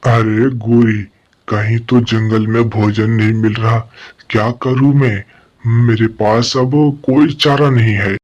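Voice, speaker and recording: A man's voice: fairly low pitch, little pitch movement, slow pace, darkish tone, highs cut off. A man voicing a lazy, hungry male crow who whines and laments in a children's animal cartoon. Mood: lamenting. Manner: plaintive, lamenting. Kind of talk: Hindi children's animated fable dialogue.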